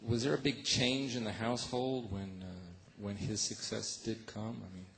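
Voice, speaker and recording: A man's voice: on the low side, little pitch movement, normal speed, gravelly, fairly bright tone, a little hiss or hum. A man hosting a radio show, interviewing a musician in a loose, conversational way, with many fillers and restarts.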